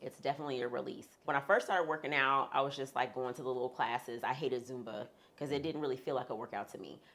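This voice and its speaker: A woman speaking frankly as a guest in a sit-down interview, talking with humorous asides in a deep, husky voice. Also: fast talker, fairly bright tone. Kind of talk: candid sit-down conversation.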